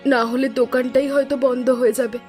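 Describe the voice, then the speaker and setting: A woman's voice: high-pitched, level tone, brisk, a little mellow, soft background music, lightly acted. A woman voicing a worried young married daughter in a cartoon story, speaking in an earnest, pleading way. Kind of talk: cartoon voice acting.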